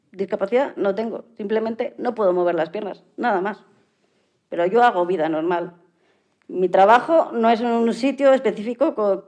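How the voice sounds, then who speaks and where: A woman's voice: medium pitch, darkish tone. A woman with a Spain accent answering interview questions casually, in a plain, matter-of-fact way. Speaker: interview guest, adaptive sports athlete. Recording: slightly dull lo-fi audio.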